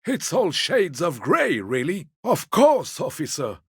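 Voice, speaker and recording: An elderly, obliging video game character with a fairly high, husky voice. He takes his time and swings widely in pitch, always mild, deferential and a little evasive. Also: wildly swooping pitch, slow pace, no room sound, studio quality, heavily acted. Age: older. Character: elderly, meek, polite, evasive old man.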